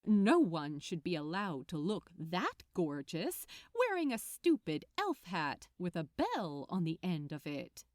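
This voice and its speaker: A woman reads fiction aloud with a highly animated, varied melody. She takes her time over the phrases, in a close, dry recording with little room sound. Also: unhurried, few pauses, no room sound. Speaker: audiobook narrator. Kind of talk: expressive scripted fiction narration.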